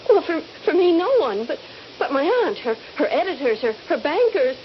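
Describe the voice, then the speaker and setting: A woman's voice: high voice, very animated, normal speed. An actress playing a frightened young woman held prisoner in a radio drama, hesitant and stammering. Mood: anxious, pleading. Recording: muffled, hissy 1970s radio recording.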